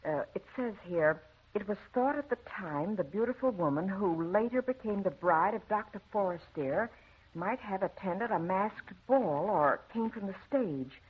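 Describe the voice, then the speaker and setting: A woman's voice: mid-range voice, animated, moderate pace, husky. An actress playing a simple, folksy woman sharing newspaper gossip in an old radio drama. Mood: intrigued. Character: simple, gossipy woman Clara reading the paper aloud. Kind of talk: 1940s radio drama acting. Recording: tinny, phone-like old radio recording.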